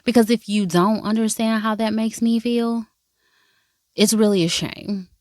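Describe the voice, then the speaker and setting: A woman's voice: a little high, steady tone, a little slow, a little mellow. Woman drama vlogger, playful and emphatic, swears freely, recorded close with no room echo. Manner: talks straight to viewers, calling them baby and y'all. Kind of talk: casual first-impressions review.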